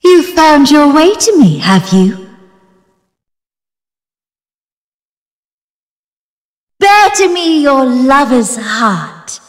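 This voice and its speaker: Very high, seductive love goddess. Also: female voice, sing-song, slow pace, full character voice. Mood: coy, inviting. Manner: teasing, dramatic archaic declamation. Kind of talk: fantasy video game boss voice acting. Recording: long reverberant hall effect.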